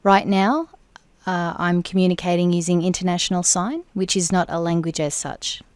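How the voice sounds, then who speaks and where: Measured low-voiced woman interpreter. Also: fairly even intonation, no room sound. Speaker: sign language interpreter voicing the guest. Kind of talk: first-person interview interpreting.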